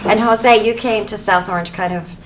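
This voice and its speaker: A woman's voice: mid-range voice, normal speed, slight background noise. A woman hosting a local television interview with artists, chatty and encouraging as she asks questions. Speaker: host.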